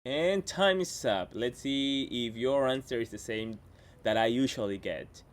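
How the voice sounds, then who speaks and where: Spanish-accented Peruvian man, comic host, teasing monologue, mid-pitched. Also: measured pace. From Peru. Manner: chatty, playful, teasing asides. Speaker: comedian and show host. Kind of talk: comedic monologue to camera. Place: home in Washington.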